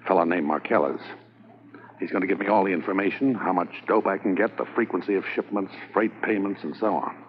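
A man's voice: low-pitched, a little fast, natural voice. A man playing a confident, businesslike undercover police corporal in a 1950s radio drama, on a muffled, hissy transcription. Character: undercover Mountie corporal posing as a New York buyer.

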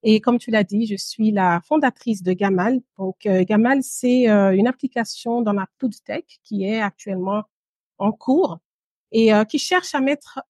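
A woman in her thirties speaks at a middle pitch in a dry recording. She tells her personal story as an interview guest, measured and pausing, dropping in an occasional English word. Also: a little mellow, dry recording. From Guinea and Brussels, Belgium. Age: 30s. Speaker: podcast guest, entrepreneur.

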